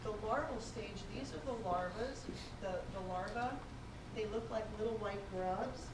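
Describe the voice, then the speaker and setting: A woman presenting a beginner beekeeping class to a room, loud-voiced and talking in a halting way with fillers and restarts. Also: medium pitch, noisy background. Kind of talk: classroom lecture with slides. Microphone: far room recording, no mic for a while. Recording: echoey room from a distance.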